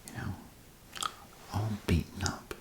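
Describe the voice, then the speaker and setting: A man performing a whispered ASMR role play as an attentive, apologetic doctor, murmuring in a hesitant, unhurried way. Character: apologetic, attentive male vault doctor tending battered patients.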